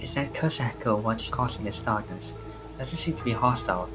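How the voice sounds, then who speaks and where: A man voicing an anxious, excitable young man in a visual novel, with dramatic exclamations. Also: mid-range voice, normal speed, natural voice. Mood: suspicious, alarmed. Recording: game music behind the voice.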